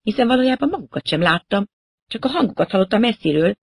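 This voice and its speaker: A man voicing a frightened, breathless young woman pilot in an audiobook, talking in a high, excited rush. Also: gravelly, background noise. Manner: high, excited, rushed pleading.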